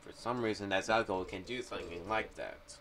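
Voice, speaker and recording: A young man talks through his ideas in a casual, rambling vlog style. His voice is fairly low yet bright and forward, and he halts and repeats himself as he goes. He sounds somewhat far from the mic. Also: brisk. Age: young adult. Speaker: YouTube vlogger. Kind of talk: casual commentary on a horror audio series. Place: small home room. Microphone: condenser mic with pop filter on boom arm. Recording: distant-sounding mic with faint background noise.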